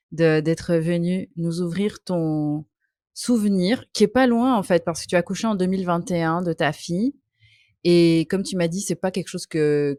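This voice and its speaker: A woman's voice: lowish voice, warm tone, no room sound, clean studio sound. A woman hosting an interview, speaking with many hesitant fillers and an empathetic tone. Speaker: podcast host. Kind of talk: interview via video call. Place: hot home office.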